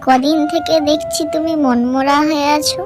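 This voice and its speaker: A woman's voice: sits high, fairly even intonation, moderate pace, background noise, close to an everyday voice. A woman playing a landlord's reproachful, sorrowful wife in a cartoon story, with a melodramatic manner. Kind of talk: Bengali cartoon story dialogue. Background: background music.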